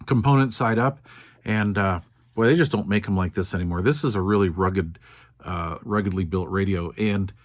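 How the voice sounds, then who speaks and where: An older man with an American accent and a fairly low voice. He talks through hands-on repair work casually and without hurry, thinking aloud in a plain, folksy way. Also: normal speed. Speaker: radio repair video host.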